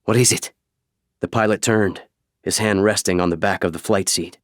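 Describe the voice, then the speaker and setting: Male narrator for novel audiobook, lowish register, close dry studio sound. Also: fairly even intonation, moderate pace, no room sound. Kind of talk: scripted fiction narration.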